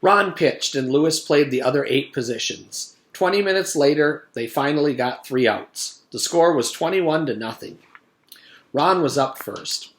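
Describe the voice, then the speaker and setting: Animated man reading a children's story. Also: a little high, unhurried. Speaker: teacher reading aloud to a third grade class.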